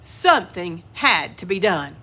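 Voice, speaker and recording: A woman's voice: on the low side, big pitch swings, a little slow, bright, forceful tone. A woman reading a children's picture book aloud expressively, with asides to young listeners. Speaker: children's story reader.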